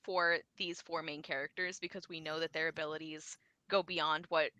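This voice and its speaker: Bright-voiced, giggly woman chatting about books. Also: low voice, moderate pace, no room sound. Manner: enthusiastic, giggly, animated retellings. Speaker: book discussion co-host. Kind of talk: casual book chat. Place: live online video call.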